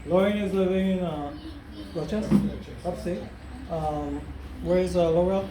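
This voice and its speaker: An older man with a Trinidadian accent speaks earnestly to his gathered family, leaning on repeated phrases like a sermon. His voice sits high. The room is echoey, with loud noise behind him. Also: fairly even intonation, a little slow. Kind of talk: impassioned speech at a family gathering. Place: home gathering after a funeral service.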